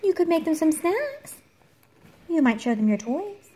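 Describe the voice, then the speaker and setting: A woman reading a picture book aloud to a child at home, expressively, with theatrical emphasis and dramatic pauses. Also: very high voice, big pitch swings, mellow. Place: indoor room at home. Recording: home recording with clear background noise, clicks.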